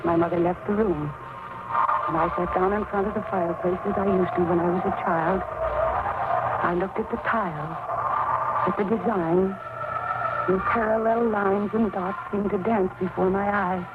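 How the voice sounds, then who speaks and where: A woman's voice: mid-range voice, fairly even intonation, normal speed, long pauses, close to an everyday voice. Actress as intense young woman, very noisy vintage radio. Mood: hushed dread. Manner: dramatic, earnest, intense. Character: determined, intense young woman haunted by father's death. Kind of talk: old-time radio horror acting and narration. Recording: tinny, very noisy old radio recording.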